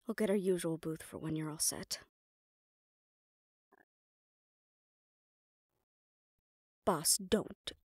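A woman's voice: fairly low pitch, normal speed, a little mellow, breathy edge, no room sound. Woman acting a composed mafia assistant, close and dry. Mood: subdued, guarded. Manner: firm, reassuring, addressing the listener directly. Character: composed, protective female assistant to a mafia boss. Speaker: voice actor in audio roleplay. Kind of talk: intimate audio roleplay acting.

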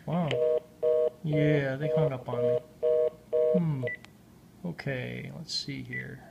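A man's voice: mid-range voice, fairly even intonation, slow pace, vocal fry, highs cut off, heavy background noise. A man talking casually in a dry, sarcastic way on a handheld home recording. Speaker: amateur vlogger. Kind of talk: casual chat to camera. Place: indoors at home in a kitchen. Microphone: handheld camera or phone.

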